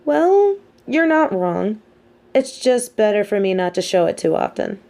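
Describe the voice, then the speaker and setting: A woman playing a sardonic, teasing supervillain with a hidden soft side, talking straight to the listener in an audio roleplay with theatrical, mocking emphasis. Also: medium pitch, very animated, measured pace, gravelly. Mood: musing, lecturing. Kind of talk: scripted audio roleplay monologue spoken to the listener.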